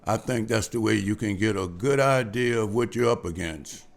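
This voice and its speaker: Gravelly guy doing a table read as a careful business man, guarded and measured. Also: on the low side. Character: cautious businesslike male bank official.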